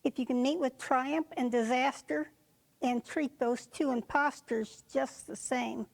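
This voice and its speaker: Slow, high-voiced woman reciting poetry. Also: steady tone, creaky, rough recording. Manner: deliberate phrasing with pauses. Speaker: poetry reader. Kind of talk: reciting a poem for reader's theater.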